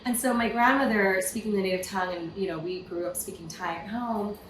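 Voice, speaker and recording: A woman from California, a podcast guest, telling her story in a lively, casual way with many "you know" fillers. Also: mid-range voice, brisk, roomy sound, background noise. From California, USA. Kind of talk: casual interview conversation.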